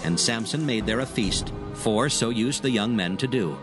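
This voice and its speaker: A man narrating scripture as a solemn, measured storyteller in a dramatized audio production. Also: medium pitch, animated, over music.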